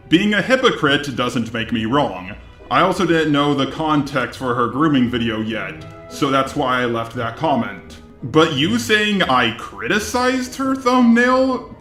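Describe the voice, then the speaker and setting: A synthetic male voice with a robotic quality and a medium pitch delivers scripted commentary, rebutting point by point in an argumentative way. The sound is noticeably echoey, with music faintly playing behind it. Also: fairly bright tone. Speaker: commentary video host.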